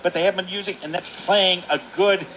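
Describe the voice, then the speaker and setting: Male color commentator, forceful bright voice, explanatory and conversational, light rink ambience behind. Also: sits high, fairly even intonation, brisk. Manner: explanatory, conversational full sentences. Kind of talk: live sports analysis. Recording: noisy live rink recording.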